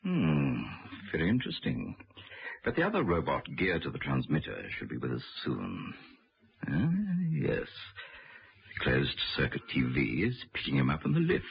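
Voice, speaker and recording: A smug, ruthless mastermind from an old radio play. His voice is very low and gravelly, with measured, formal phrasing. The recording is dull and lo-fi. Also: huge pitch swings, full character voice. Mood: smug, intrigued. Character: suave, ruthless older male scientist villain. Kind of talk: 1960s-70s radio drama acting.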